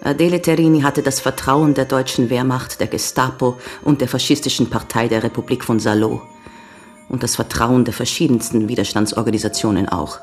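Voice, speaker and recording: An actress playing a grave young American woman giving measured, sombre testimony in a German radio crime drama. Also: rumbling low, fairly even intonation, faint music behind. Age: young adult. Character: grave young American woman recounting her father's betrayal. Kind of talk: German radio play acting.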